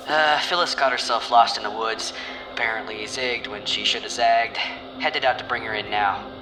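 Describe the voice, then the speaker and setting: An actor playing an easygoing, teasing detective in an audio drama, casual and folksy. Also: male voice, medium pitch, moderate pace, music in the background, close to an everyday voice, low-quality recording. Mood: matter-of-fact.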